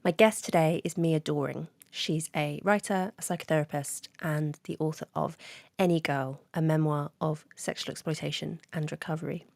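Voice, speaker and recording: Podcast host, female. Low register, very dry sound, introductions and questions. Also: steady tone, normal speed, vocal fry, few pauses, a little mellow, dry recording.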